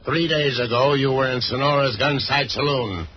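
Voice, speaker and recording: A man's voice: mid-range voice, moderate pace, close to an everyday voice. A dull, hissy old radio western recording carries a man acting a ruthless outlaw boss. He is menacing and commanding, bearing down on his men with accusation. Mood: cold accusation. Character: ruthless, vicious male outlaw boss plotting a takeover.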